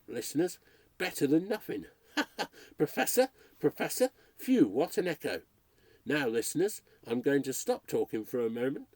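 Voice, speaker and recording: Man voicing a nervy radio announcer, lone in a spooky house, rambling at listeners, lively swooping pitch. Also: breathy edge, no room sound, full character voice. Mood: nervous chatter. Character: male radio announcer alone in a haunted house, cracking up. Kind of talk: read-aloud ghost story.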